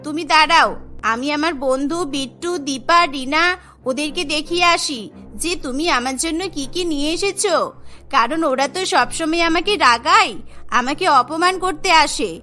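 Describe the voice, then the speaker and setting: A woman's voice: high voice, moderate pace, sharp tone, soft background music, pitched below the performer's own voice. A woman voicing an eager, affectionate and proud little schoolgirl in a cartoon, chatty and earnest.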